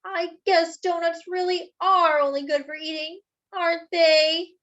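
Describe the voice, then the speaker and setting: A woman's voice: very high voice, steady tone, unhurried, a bit bright, muffled recording, voice pushed up. A woman voicing a talkative little male doughnut, eager and dramatic, in a children's storybook reading. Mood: sad, brave.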